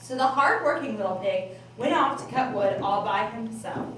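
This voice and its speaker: A woman's voice: on the high side, expressive, normal speed. A woman narrating a scripted children's story as the storyteller of a puppet show. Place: library room. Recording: distant, very echoey room, faint noise.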